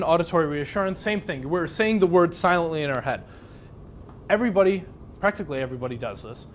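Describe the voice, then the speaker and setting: A man's voice: fairly high pitch, slight background noise. A young man whose first language is Polish, from Chicago, teaching a live workshop in a conversational way and asking the audience many questions. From Chicago, USA. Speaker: speed reading instructor.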